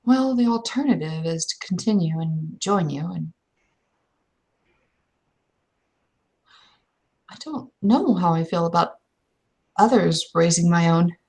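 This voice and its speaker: A woman playing a proud, blunt young werewolf shield maiden in a tabletop game, with a husky voice and frank, halting phrasing. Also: fairly low pitch, lively intonation, a little mellow. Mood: subdued, wary. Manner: frank, hesitant phrasing but unyielding.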